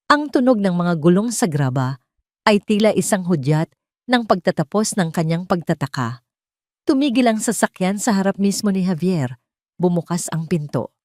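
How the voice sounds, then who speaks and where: A woman's voice: fairly low pitch, no echo, clean studio sound. A woman reading a scripted first-person story as a dramatic narrator.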